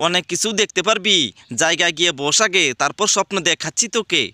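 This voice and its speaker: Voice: mid-range voice, quick pace, bright, forceful tone, dry recording, studio quality, lightly acted. Someone voicing a scolding cartoon schoolteacher. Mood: stern. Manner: scolding, exclaiming. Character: strict exasperated male schoolteacher. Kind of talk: cartoon voice acting.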